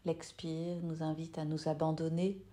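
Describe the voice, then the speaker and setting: A woman yoga nidra teacher leading a guided meditation in a soft, soothing, unhurried way with long pauses. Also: deep voice, level tone.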